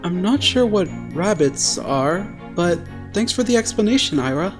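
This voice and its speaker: A woman's voice: on the low side, sing-song, moderate pace, faint music behind. A woman acting an earnest, naive and blunt teenage boy idol in an anime-style dub. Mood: curious. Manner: straightforward, earnest.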